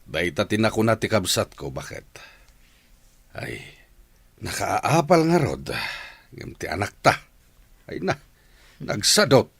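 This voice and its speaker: Low-voiced weary father, husky, disappointed, radio serial. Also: sing-song, takes it slowly, pauses often, a bit bright, no echo, exaggerated voice. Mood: weary disappointment. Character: weary older brother, disappointed father of a wayward daughter. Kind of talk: radio drama acting.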